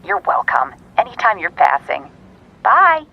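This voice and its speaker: A woman's voice: medium pitch, sing-song, unhurried, creaky, slightly rough, exaggerated voice. A woman playing a chatty, helpful receptionist in a radio drama, heard through a telephone. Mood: chirpy, helpful. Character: chatty, helpful American female aquarium receptionist. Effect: telephone filter. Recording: band-limited telephone line.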